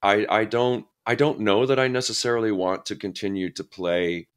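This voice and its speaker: Deep-voiced reflective middle-aged man. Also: steady tone, clear, resonant tone. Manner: reflective, halting, many restarts and fillers. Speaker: guest actor. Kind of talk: casual interview conversation.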